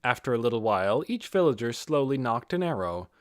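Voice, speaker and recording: A man reading a scripted fantasy audiobook in an expressive, dramatic storytelling manner. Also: mid-range voice, fairly quick, no room sound, clean studio sound. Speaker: audiobook reader.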